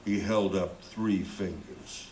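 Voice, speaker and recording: A man's voice: sits low, steady tone, takes it slowly, darkish tone. A man reading scripted narration for a radio play. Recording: roomy, with faint background noise.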